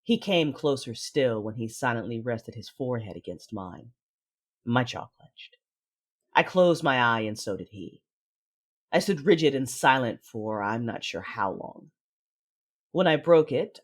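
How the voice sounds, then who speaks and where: A man playing a guarded, commanding Sith lord in a sci-fi audio drama, narrating inwardly in a husky voice. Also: mid-range voice. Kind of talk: first-person audio drama narration and dialogue.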